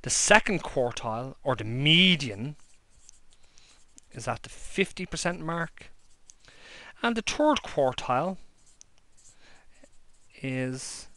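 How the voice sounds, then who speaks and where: A man's voice: fairly high pitch, expressive, slow pace, pauses often, pushed and bright, no room sound. A man teaching a maths lesson and explaining each step plainly while he draws on paper at a desk. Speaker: maths lecturer. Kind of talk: handwritten whiteboard-style maths lesson. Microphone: overhead camera above a desk.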